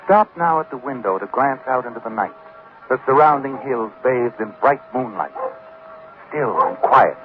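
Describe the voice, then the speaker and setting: An actor playing an insinuating, suspenseful male narrator of a 1940s radio mystery. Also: medium pitch. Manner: insinuating, suspenseful second-person address. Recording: tinny, noisy 1940s radio recording.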